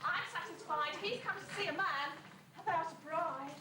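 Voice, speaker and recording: A woman's voice: very high-pitched, normal speed, recorded from a distance. A woman playing a vain, grumbling young sister in an amateur rhyming pantomime, exaggerated and indignant. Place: school hall stage, far camera. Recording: noisy, echoey amateur hall recording from afar.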